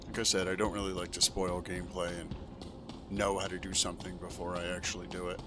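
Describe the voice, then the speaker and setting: A man's voice: low-pitched, little pitch movement, fast talker, over music. A man giving casual gameplay commentary as a streamer, musing aloud and thinking through his questions as he plays. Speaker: video game streamer.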